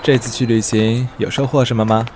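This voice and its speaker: A man's voice: mid-range voice, fairly even intonation, fairly quick, natural voice. A man in an amateur audio drama playing a breezy, joking best friend, bantering and upbeat. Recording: clear outdoor traffic noise behind.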